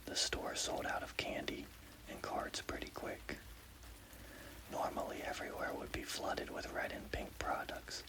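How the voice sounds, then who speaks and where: A man narrating a scary story as whispered ASMR, in a hushed, deliberate, breathy voice with a gravelly creak. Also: low-quality recording.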